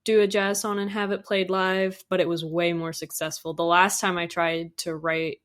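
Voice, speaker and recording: A woman's voice: fairly low pitch, little pitch movement, moderate pace, fairly bright tone. A woman chatting casually as a podcast guest, with an enthusiastic, informal way of talking. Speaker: guest, audio drama composer. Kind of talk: casual podcast interview chat.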